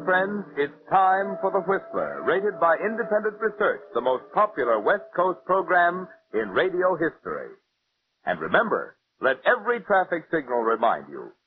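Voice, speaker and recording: A tinny old broadcast carries a male announcer with a very animated, swooping delivery. He sells the sponsor emphatically in 1940s radio style. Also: on the high side, a little hiss or hum. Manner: promotional, emphatic.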